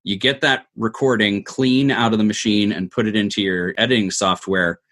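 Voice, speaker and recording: A man's voice: on the low side, steady tone, moderate pace, a bit bright, dry recording, studio quality. A man speaking conversationally as an interview guest, explaining and advising in an earnest, emphatic way. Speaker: podcast producer, guest. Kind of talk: conversational interview answer, giving advice.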